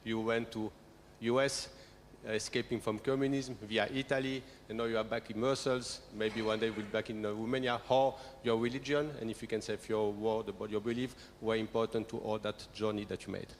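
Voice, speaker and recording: A man moderating a conference panel, polite and measured. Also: on the low side, flat delivery. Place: conference hall. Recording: long hall reverb, faint room noise.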